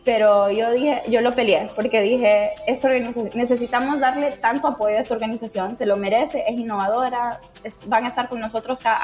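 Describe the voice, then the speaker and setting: A woman speaks in a slightly high voice. She explains things with enthusiasm, in the informal style of an interview answer. Faint music plays behind her. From Honduras. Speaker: interviewee, project team member.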